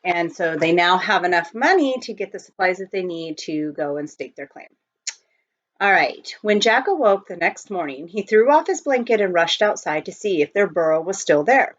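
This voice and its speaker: A woman reading a children's novel aloud in an expressive storytelling style. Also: fairly low pitch, moderate pace. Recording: dull lo-fi home recording.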